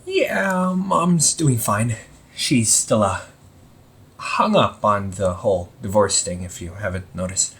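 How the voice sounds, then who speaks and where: Casting: male actor as wry, anxious young villain, low voice with big pitch swings, casual and exasperated, for audio roleplay. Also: animated, fairly bright tone, full character voice. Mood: wry, resigned. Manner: informal, sighing, halting, exasperated. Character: anxious, wry young supervillain confiding in a sibling. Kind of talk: amateur audio roleplay, casual conversational acting.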